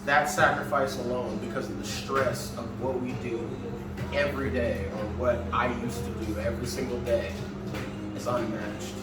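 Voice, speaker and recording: A man's voice: mid-range voice, level tone, normal speed. A man talking candidly as a podcast guest, earnest and reflective, swearing now and then. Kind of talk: candid conversation. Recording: echoey room, far from mic, music behind.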